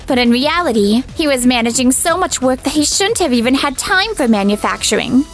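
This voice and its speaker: A woman's voice: high voice, animated, a little fast, soft background music. A woman playing a firm, loyal young office colleague in a manga dub, explaining things plainly. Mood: firm, reproachful. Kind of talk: scripted manga dub acting.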